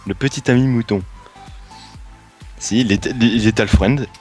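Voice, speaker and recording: A man's voice: mid-range voice, a little slow, soft background music. A young man teasing his friend and stumbling over his words in a casual gaming chat. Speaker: co-host, fellow player.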